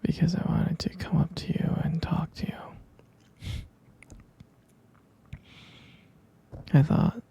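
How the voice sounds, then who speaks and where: A man playing a gentle, sleepy half-human vampire boyfriend in an ASMR roleplay, murmuring hesitant, tender reassurance close to the mic in a soft, breathy whisper. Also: fairly high pitch, flat delivery, brisk, full character voice.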